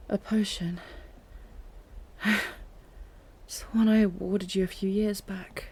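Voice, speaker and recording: Female actor as delirious captive queen, gravelly fry, breathy whispers, slow halting murmur, lovelorn, regretful, fantasy audio roleplay. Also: on the low side, mellow, a little hiss or hum. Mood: wistful. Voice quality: breathy, often falling into whispers. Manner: halting, murmured, rambling as if half-dreaming. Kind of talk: intimate scripted audio roleplay.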